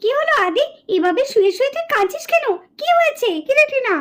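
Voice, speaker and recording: A woman's voice: very high voice, lively intonation, fast. A woman voicing a mother who scolds her daughter and dotes on her son. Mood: worried. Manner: scolding, coaxing. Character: mother Pushpa, scolding but doting.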